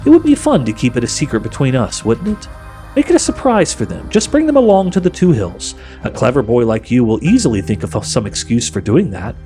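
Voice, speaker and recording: A man's voice: medium pitch, sing-song, a little fast, warm tone, faint music behind, close to an everyday voice. A man voicing a sly, coaxing witch queen for a storybook, speaking in a grand, persuasive, commanding way. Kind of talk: audiobook character voice.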